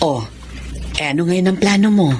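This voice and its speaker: A woman playing a generous, encouraging business owner in a radio drama, with a warm manner. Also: on the low side, normal speed, natural voice. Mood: congratulatory, supportive. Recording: background noise and ambience.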